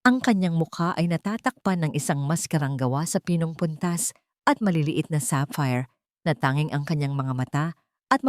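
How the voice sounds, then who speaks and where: A woman's voice: deep voice, darkish tone, dry recording, studio quality. A woman reading a scripted, dramatic love story as a storyteller.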